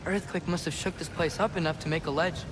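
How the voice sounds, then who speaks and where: Man acting a high-voiced, earnest teenage warrior son. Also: fairly even intonation, fast talker, noisy background, natural voice. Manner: earnest, eager, conversational. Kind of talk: video game drama acting. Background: loud music.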